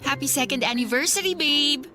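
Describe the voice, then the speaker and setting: Actress as a high-voiced, hesitant young Filipina girlfriend. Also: normal speed, over music, close to an everyday voice. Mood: tender, anxious. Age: young adult. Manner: pleading, affectionate. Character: hesitant, devoted, anxious young student girlfriend. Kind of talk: Tagalog radio drama acting.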